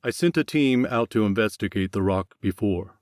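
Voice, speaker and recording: A man's voice: on the low side, animated, normal speed, a little mellow, no room sound, close to an everyday voice. A guarded male overseer, voiced by a male narrator, explains himself in terse, defensive lines. It is an audiobook character under strain, recorded dry and close.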